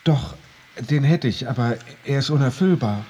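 An actor playing a resigned, wryly bargaining death-row prisoner in a radio drama, husky and dryly ironic. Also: male voice, medium pitch, lively intonation, normal speed, a little mellow, highs cut off. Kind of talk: radio drama dialogue in German.

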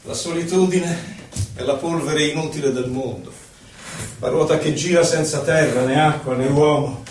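Male actor as impassioned, anguished poet; high voice, declamatory theatrical verse, captured from afar in a very reverberant space. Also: steady tone, normal speed, darkish tone, a little hiss or hum, close to an everyday voice. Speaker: stage actor. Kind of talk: theatrical poetry recitation. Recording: very echoey hall, distant microphone.

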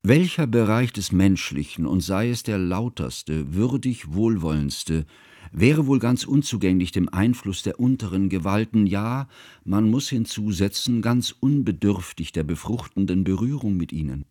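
An actor playing an ageing scholarly schoolmaster who narrates a radio drama in a measured, pedantic, ornate way. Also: male voice, on the low side, animated, warm tone, no echo, clean studio sound. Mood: reflective, confessional. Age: older. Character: ageing scholarly schoolmaster, humane, ceremonious, reflective. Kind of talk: literary first-person radio drama narration.

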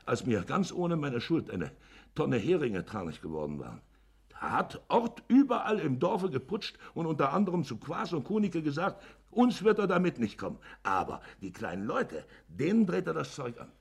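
An actor in a German radio play playing a shifty village innkeeper who justifies himself in a hesitant, wordy way. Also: male voice, a little high, big pitch swings, normal speed, creaky, breathy edge, heavily acted. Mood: indignant. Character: shifty, smooth-talking village innkeeper hiding a crime.

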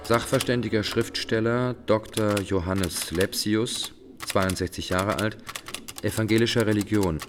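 An actor playing an impersonal court clerk, making clipped official announcements in a radio drama. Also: male voice, on the low side, fairly even intonation, unhurried, background noise, close to an everyday voice. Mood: officious. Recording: loud typewriter clatter behind voice.

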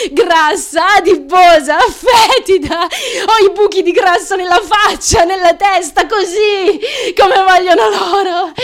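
An actress playing a desperate, ravenous young woman full of self-loathing, in a feverish, obsessive theatrical monologue for radio. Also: sits high. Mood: fierce, anguished. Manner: feverish, obsessive, incantatory repetition.

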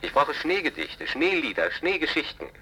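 An actor playing a brisk, fussy radio department boss in a radio play. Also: male voice, fairly high pitch, huge pitch swings, sharp tone, husky, exaggerated voice, low-quality recording. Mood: exasperated, insistent. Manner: brisk, exclamatory.

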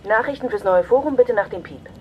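A woman's voice: fairly high pitch, animated, fairly quick. An actress playing a woman's recorded answering machine greeting in a radio drama. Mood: casual. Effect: answering machine over telephone. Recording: dull, noisy answering machine on payphone.

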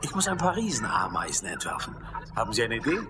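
Slippery confident game doctor in a radio play, really animated, noisy. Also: male voice, fairly low pitch, fast. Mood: confident, evasive. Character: confident, evasive male doctor designing a game world. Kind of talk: radio drama acting.

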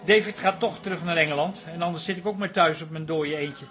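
A man's voice: a little high, fast, a bit bright, natural voice. An actor playing a friendly single man in a radio comedy drama, teasing and conciliatory. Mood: kindly, consoling.

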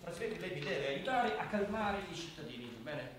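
A stressed defensive mayor in a radio drama, talks fast and urgent, very echoey and noisy. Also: male voice, on the high side, lively intonation, a bit bright. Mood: harried. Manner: urgent, questioning, colloquial. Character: harried, defensive male mayor, pressing for calm. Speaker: actor in radio play. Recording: very echoey, very noisy, distant recording.

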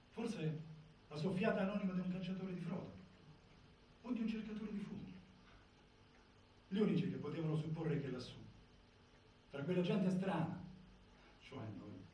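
A man's voice: fairly high pitch, warm tone, natural voice. An actor playing an earnest pacifist carpenter telling his story in a theatrical monologue, recorded muffled and far from the mic in an echoing space. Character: earnest pacifist male carpenter, recounting his own arrest. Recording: echoey stage, distant mic, muffled, noisy.

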